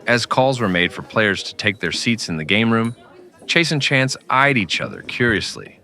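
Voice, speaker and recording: A man with a low, crisp-edged voice narrates an old-time radio western. His delivery is scripted storytelling with animated rises and falls. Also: moderate pace.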